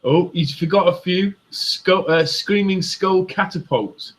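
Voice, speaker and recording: A man's voice: sits high, unhurried, fairly bright tone. English guy co-hosting a hobby show, mixed midlands accent, bit northern bit southern, just chatting. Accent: English Midlands with northern and southern traces. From England. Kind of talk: casual chat.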